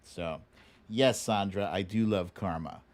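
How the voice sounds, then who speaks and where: A man's voice: low-pitched, unhurried, darkish tone. A man hosting a casual livestream, chatting through news and viewer comments in a wry, teasing, colloquial way. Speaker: livestream show host.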